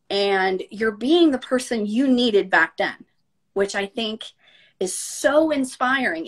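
A middle-aged woman hosting a live video call interview, talking in an encouraging way with many fillers and restarts, on a webcam at home. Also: medium pitch. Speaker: interview host. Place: home room, indoors.

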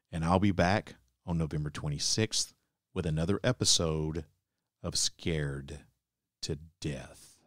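Deep-voiced man hosting a podcast. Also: dry recording, studio quality. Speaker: podcast host. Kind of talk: podcast sign-off narration.

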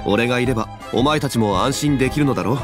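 A man plays a dignified, learned gentleman in an anime game. He speaks in a mid-pitched voice, measured and formal, with composed modesty. Music plays clearly behind him. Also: close to an everyday voice. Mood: gracious. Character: calm, dignified, scholarly gentleman, young-looking but old-fashioned. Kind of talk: Japanese anime game voice acting.

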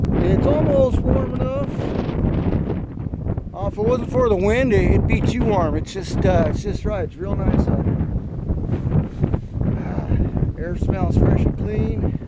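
A man's voice: high-pitched, takes it slowly, pauses often. A man chatting casually and offhandedly as he films himself outdoors, in short rambling remarks. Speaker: vlogger filming a hike. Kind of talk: casual rambling chat while filming. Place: outdoors on a windy rocky desert hillside. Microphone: handheld camcorder built-in mic. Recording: heavy wind noise on camera mic.

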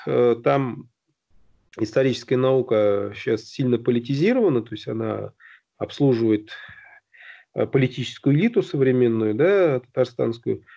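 A middle-aged man explaining at length on a video call, halting with many fillers and restarts. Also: mid-range voice, gravelly, mellow. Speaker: guest on a video-call round table. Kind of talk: casual discussion. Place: indoor room at home. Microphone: video call, phone or webcam close to the face. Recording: dull lo-fi video call audio.

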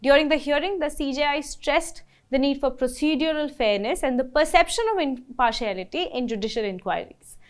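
A young woman in her twenties reading weekly legal news from a script in a measured, formal way, her voice falling at the end of sentences. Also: high voice. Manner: measured, formal delivery, voice dropping at sentence ends. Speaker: news presenter. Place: dark studio.

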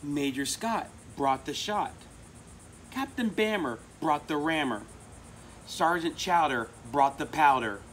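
American man, middle-aged, children's storybook reader, emphatic, lively varied pitch, outdoor recording, faint insect noise. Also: slow. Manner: expressive, emphatic delivery. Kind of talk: reading a children's picture book aloud. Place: outdoors among palm trees. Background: crickets and insects.

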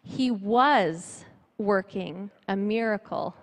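A middle-aged woman speaking at church in a measured, earnest way, giving testimony and scripture from notes on a handheld microphone. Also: medium pitch. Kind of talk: sermon with personal testimony and scripture reading. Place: church stage before a seated audience, indoors. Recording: reverberant hall, amplified church sound.

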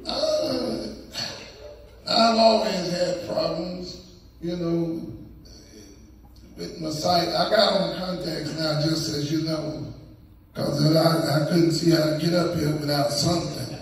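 A middle-aged man doing stand-up comedy from a church stage, telling folksy stories in a drawl and shouting his punchlines. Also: fairly high pitch, fairly even intonation, takes it slowly, vocal fry. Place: large church sanctuary, on the pulpit stage. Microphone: stand mic, filmed by a phone from the pews. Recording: echoey sanctuary, phone from far off, noisy.

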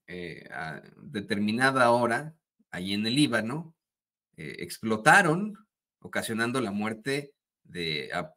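A male journalist in his forties delivers news commentary in a low-pitched but very bright, forceful voice. He is emphatic and denouncing, repeating words for stress as he presents reports from a small indoor studio. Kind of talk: opinionated news commentary, partly reading a wire report.

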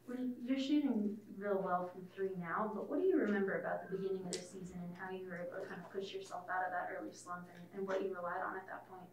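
A woman's voice: mid-range voice, quick pace, hardly any pauses, distant mic. A woman reporter asking long, rambling questions at a press conference. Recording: roomy, off-mic.

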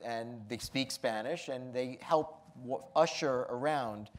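An American man moderating an onstage interview, adding quick remarks and jokes. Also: medium pitch. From United States. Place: theater stage with live audience.

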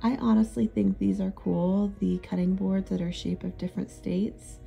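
A woman in her thirties narrating a thrift shopping trip in a chatty, relaxed, easily amused way. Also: mid-range voice, moderate pace, vocal fry, soft background music. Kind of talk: casual voice-over narration.

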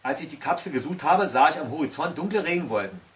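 A man voicing a helpful, resourceful butterfly in a storybook, with a brisk, practical manner. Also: fairly high pitch, expressive, far from the mic, lightly acted. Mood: planning.

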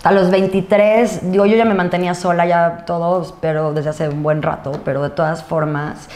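A Mexican woman in her thirties chatting casually as an interview guest, informal, with drawn-out words and pauses. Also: on the low side, fairly even intonation, darkish tone. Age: 30s. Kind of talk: casual chat. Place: indoor studio set at a desk. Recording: roomy sound, noticeable background noise.

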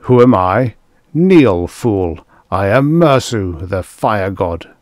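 A man's voice: fairly low pitch, sing-song, takes it slowly, darkish tone, no echo, clean studio sound. A man voicing a proud, wrathful fire god in a science fiction story, making grandiose, thundering declarations. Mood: outraged pride.

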